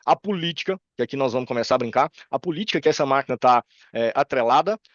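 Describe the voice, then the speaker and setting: Casting: Brazilian male presenter, Brazilian Portuguese accent, chatty and quick, informal tutorial delivery, close dry sound with slightly lo-fi tone. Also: medium pitch, expressive, dry recording. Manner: informal, chatty, checks in with listeners. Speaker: presenter demonstrating software. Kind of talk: informal live screen-share tutorial. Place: indoor room on a video call.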